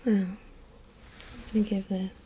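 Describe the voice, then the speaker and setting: A woman playing a calm, controlling, hypnotic interrogator in a noir ASMR roleplay, giving soft, coaxing, patient instructions. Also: medium pitch, a little slow, a little hiss or hum, lightly acted.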